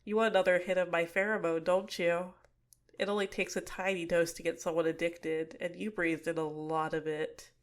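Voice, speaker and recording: A young woman voicing a cute but manipulative female villain in a soft-spoken, teasing ASMR roleplay, recorded close to the mic. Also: lowish voice, flat delivery, normal speed, close to an everyday voice. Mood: coaxing, menacing.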